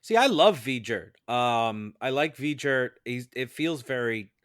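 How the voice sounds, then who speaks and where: A man discussing a film casually as a podcast juror, in a measured, halting way, thinking aloud. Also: medium pitch, animated, a bit bright, clean tone, no echo, studio quality. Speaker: podcast juror, jury foreman.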